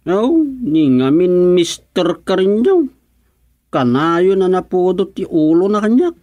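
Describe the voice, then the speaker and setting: A woman's voice: on the low side, big pitch swings, slow, mellow, dry recording. A woman with an Ilocano accent voicing a stubborn, troubled schoolboy in a radio comedy drama. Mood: uneasy.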